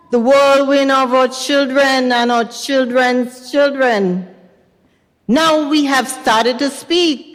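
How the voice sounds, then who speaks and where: Woman acting as a wronged grenadian mom, super slow and deliberate, defiant, stage reading in big echoey hall. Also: high voice. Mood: solemn, defiant. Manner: deliberate, incantatory, repeating phrases for weight. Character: wronged, defiant Grenadian mother remembering and prophesying. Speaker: reader in a dramatized stage reading. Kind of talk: slow theatrical dramatic reading. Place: university recital hall stage. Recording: long hall reverb.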